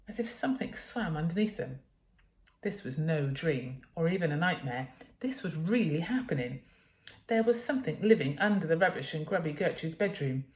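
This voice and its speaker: A woman's voice: low-pitched, a little mellow. Woman reading a story, echoey room. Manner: dramatic, emphatic reading for children. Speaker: teacher reading a children's story aloud. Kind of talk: expressive storybook narration.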